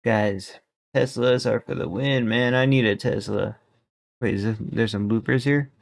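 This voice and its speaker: A man's voice: medium pitch, measured pace, creaky, no echo, studio quality. A young man giving laid-back, slangy reaction commentary as a streamer at his desk. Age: young adult. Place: indoor room at a desk.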